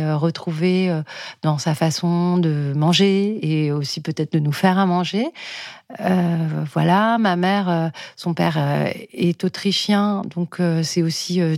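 A woman's voice: on the low side, vocal fry, darkish tone, no room sound, clean studio sound. A young woman, telling personal stories in a casual, reflective, informal way. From Paris suburbs, France. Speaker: podcast participant telling personal story.

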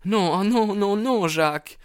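A man's voice: high-pitched, a little slow, clear, resonant tone, no echo, studio quality, pitched above the performer's own voice. A man voicing an anxious young woman in love, speaking in an earnest, pleading way in an audiobook. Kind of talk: audiobook character dialogue.

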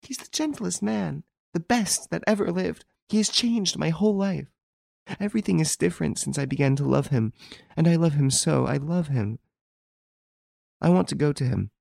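A distraught young woman, high and trembling, sobbing and pleading in a storybook tale, as a male narrator puts her on. Her voice swings wildly and sits close and dry on the mic. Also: very animated, slow pace, long pauses, mellow, slightly rough, dry recording, exaggerated voice. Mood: sobbing despair.

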